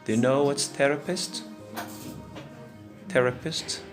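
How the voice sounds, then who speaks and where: A man's voice: medium pitch, steady tone, takes it slowly, music in the background. A man teaching informally, explaining step by step and often checking that listeners follow. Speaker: teacher, moderator. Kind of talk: informal classroom explanation and questioning. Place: classroom at a language centre.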